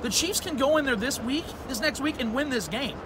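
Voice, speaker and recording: Fast, emphatic man breaking down a game. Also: very high voice. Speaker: guest podcaster. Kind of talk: postgame fan analysis. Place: outdoor football stadium at night. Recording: loud outdoor stadium noise.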